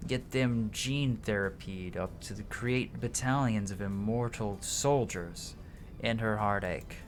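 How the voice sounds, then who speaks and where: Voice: mid-range voice, slow pace, a little hiss or hum. A streamer reads game dialogue aloud in a dramatic way, stumbling now and then and correcting mid-word.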